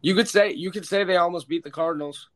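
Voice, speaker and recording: An American man hosting a casual, sweary sports chat, opinionated and emphatic, with a stuffed-up, slightly hoarse voice. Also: sits high, fast. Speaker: sports podcast host. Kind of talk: casual, profane football chat.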